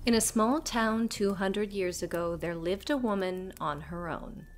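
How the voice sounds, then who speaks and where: A woman narrating a scripted horror story as a storyteller, dramatic and deliberate, dropping at times toward a whisper. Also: mid-range voice.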